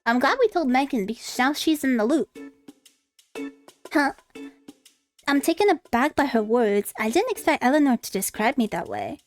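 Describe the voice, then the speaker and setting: A woman with a high voice is streaming a visual novel playthrough. She reads the text aloud with big swings in pitch and expressive, dramatic reactions, over faint background music. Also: moderate pace, pauses often. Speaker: streamer, VTuber.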